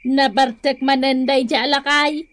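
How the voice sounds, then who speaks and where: A woman's voice: high-pitched, fairly even intonation, moderate pace, fairly bright tone. A woman with an Ilocano accent playing a nagging, bossy village wife in a comedic radio drama. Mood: scolding.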